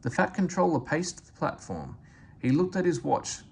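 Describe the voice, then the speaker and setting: A man's voice: a little high, big pitch swings, moderate pace, vocal fry, a little mellow, breathy edge. A man narrating a children's story aloud as a read-aloud reader, with faint noise in the recording.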